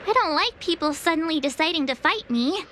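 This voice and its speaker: A woman voicing a bubbly, candid, easily flustered girl adventurer in a video game, informal and expressive. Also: very high voice, brisk, background noise. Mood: uneasy, puzzled. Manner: informal, hesitant, expressive, playful. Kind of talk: scripted video game voice acting.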